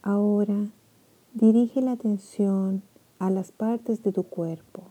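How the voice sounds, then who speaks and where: Slow, dark-voiced woman guiding meditation. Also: mid-range voice, steady tone. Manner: slow, soft, soothing with long pauses. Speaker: meditation guide. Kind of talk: guided sleep meditation.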